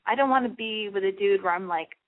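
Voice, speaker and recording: Woman, call-in show host, blunt frank advice, rapid talk, tinny phone-like sound. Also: medium pitch.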